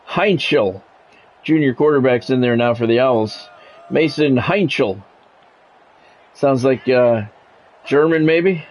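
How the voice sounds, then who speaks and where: A man's voice: mid-range voice, slow pace, pauses often, darkish tone. A man streaming a football video game, mixing sports play-by-play with casual, salty chat to viewers and swearing freely.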